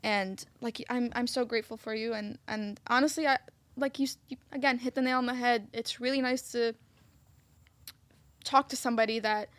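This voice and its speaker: A woman from Egypt co-hosting a casual talk show, speaking warmly but hesitantly, often restarting her sentences. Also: on the high side, fairly even intonation, moderate pace, no echo. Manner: warm, hesitant, self-interrupting. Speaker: co-host. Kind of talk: casual chat.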